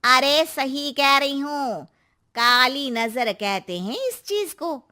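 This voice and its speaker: A woman voicing a knowing, cautious neighbor aunty in an animated cartoon. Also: sits high, slow pace, exaggerated voice. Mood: warning. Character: wise, warning older neighbor aunty.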